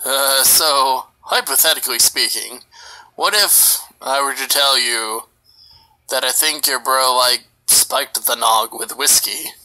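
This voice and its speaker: A man voicing a hesitant, laid-back skeleton in a fan comic dub, speaking haltingly. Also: medium pitch, pushed and bright, rough recording. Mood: hesitant, uneasy.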